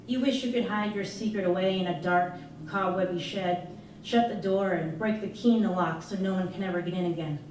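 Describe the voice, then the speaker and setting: A woman giving an expressive live reading of a first-person novel, narrating as a candid, troubled young girl. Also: on the low side, noisy background. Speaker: reader at a live literary performance. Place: live performance in an arts venue. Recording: echoey live room, distant mic, music. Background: music playing, guitar.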